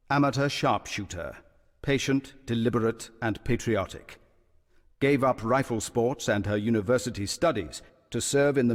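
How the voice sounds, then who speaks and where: An actor voicing a grim announcer for a war-horror video game, reading character profiles and mission briefings in a slow, grave, ominous manner. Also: male voice, on the low side, a little mellow. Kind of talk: scripted game narration.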